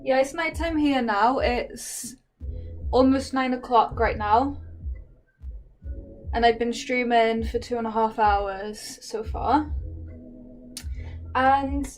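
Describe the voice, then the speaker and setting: A woman's voice: a little high, slow, long pauses, soft background music. Shy English woman, slight Welsh lilt. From England. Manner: hesitant, shy and self-conscious. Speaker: live streamer painting on camera. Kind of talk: casual chat while painting.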